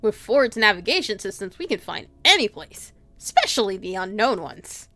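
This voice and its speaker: A woman voicing a gruff but kindly older man while reading a visual novel aloud. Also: mid-range voice, very animated, moderate pace, sharp tone, dry recording. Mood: casual, probing.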